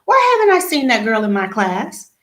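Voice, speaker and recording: A woman's voice: a little high, wildly swooping pitch, moderate pace, a bit bright. A woman voicing an earnest young schoolgirl with a questioning manner, reading from a storybook. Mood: curious. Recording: echoey room.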